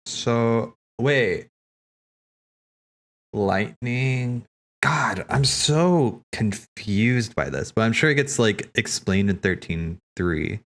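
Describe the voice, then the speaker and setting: A man's voice: mid-range voice, lively intonation, very slow, fairly bright tone. A man giving casual, off-the-cuff game commentary as a streamer, musing and thinking aloud in a rambling way. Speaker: streamer hosting a cutscene watch party.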